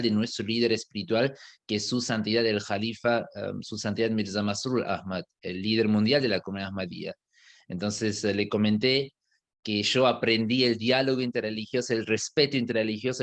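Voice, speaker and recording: Low-voiced man, foreign-accented Spanish. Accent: non-native Spanish, partly Argentine. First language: not Spanish. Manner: reflective, many hesitation fillers. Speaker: imam and interviewee. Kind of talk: casual interview answer.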